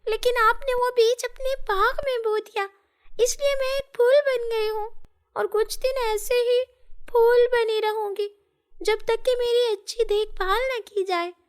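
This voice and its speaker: A woman voicing a magical talking flower, an innocent little girl with a thin childlike voice, in an animated fairy tale. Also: extremely high pitch, measured pace, voice pushed up. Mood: earnest, explaining.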